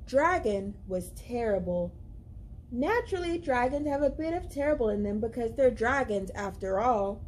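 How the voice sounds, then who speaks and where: A young woman reading a children's picture book aloud as a library storyteller, with expressive, deliberate, dramatic emphasis. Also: on the high side, slight background noise. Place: indoors, library among bookshelves.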